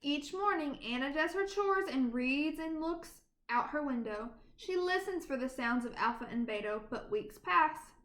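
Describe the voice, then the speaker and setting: A woman reads a children's picture book aloud in an animated, deliberate storytelling manner. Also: high-pitched. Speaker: storybook reader. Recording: roomy, echoing sound.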